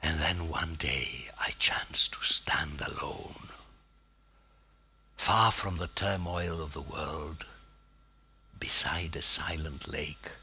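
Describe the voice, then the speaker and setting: Man, very low voice, very raspy and breathy, theatrical poetry reading, measured phrasing, weighty pauses. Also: sharp tone. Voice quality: husky, breathy, gravelly. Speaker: poetry reader. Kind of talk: dramatic poetry recitation.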